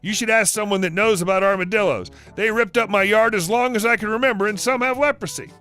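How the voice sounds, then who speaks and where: A man's voice: sits high, fast talker, pushed and bright, soft background music, voice pushed up. A man doing a stubborn know-it-all guy. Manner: stubborn, doubting.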